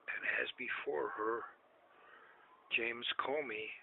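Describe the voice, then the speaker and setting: A man in his seventies talking to camera in a rambling way, repeating himself and getting louder when he asks questions. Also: mid-range voice, slow pace, vocal fry. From United States. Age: 70s. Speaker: vlogger. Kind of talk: rambling casual talk to camera. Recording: tinny lo-fi sound with faint hiss.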